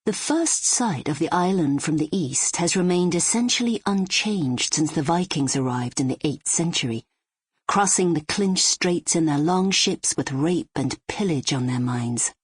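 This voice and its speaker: A Scottish woman narrates a literary audiobook in a measured, descriptive way. Her voice sits fairly low and has a slightly husky edge. The recording is very dry and close. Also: lively intonation, no room sound.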